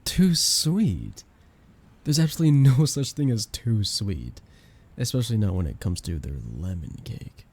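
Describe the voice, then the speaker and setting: A young man playing a playful, affectionate best friend in a casual ASMR roleplay, speaking in a soft, teasing, expressive way. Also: on the low side, a little slow, breathy edge, full character voice. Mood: playful disbelief. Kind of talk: ASMR roleplay, casual intimate chat with listener.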